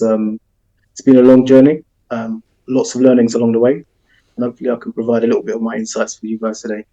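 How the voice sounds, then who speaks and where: A man with a South London accent, a football agent answering interview questions over a video call in a relaxed, rambling way, full of ums and you-knows. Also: medium pitch, muffled recording. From England.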